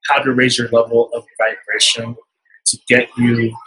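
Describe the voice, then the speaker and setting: A man's voice: mid-range voice, little pitch movement, moderate pace, pushed and bright, low-quality recording. A man hosting an interview, asking chatty, upbeat questions. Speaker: interviewer, channel host. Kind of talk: casual interview. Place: casino resort event.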